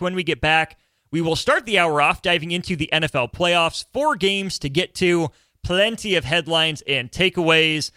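A man's voice: a little high, sharp tone, dry recording. A man with a polished radio voice hosting a conversational sports talk show.

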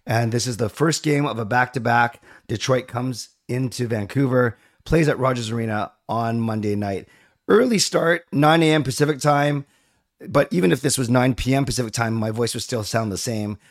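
A man hosting a casual sports podcast, his voice raspy and hoarse from a cold, talking in a chatty, easygoing way. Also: medium pitch, moderate pace. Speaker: podcast host.

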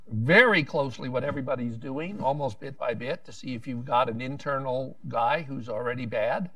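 A man's voice: mid-range voice, a bit bright, dry recording, recorded from a distance. Rambling man on a policy panel. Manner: rambling, restarts often, wry asides.

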